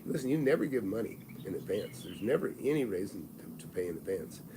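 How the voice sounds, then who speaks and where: A man's voice: on the low side, very animated, quick pace, background noise. Blunt, folksy older man in his sixties. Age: 60s. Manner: blunt, folksy and salesy, with frequent restarts. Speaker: real estate mentor and channel host. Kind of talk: live-streamed advice talk.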